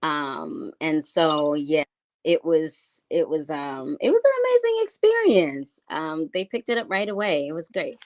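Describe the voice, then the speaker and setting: Deep-pitched female artist guest from New York City, loose and rambling in interview. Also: sing-song, a little slow, a little mellow. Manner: rambling, hesitant with many fillers. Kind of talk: casual interview chat.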